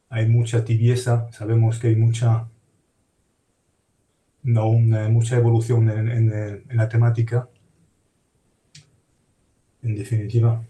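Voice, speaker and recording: A man speaking in a casual group discussion, halting and restarting, repeating words. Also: medium pitch, mellow, echoey room. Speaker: study group participant.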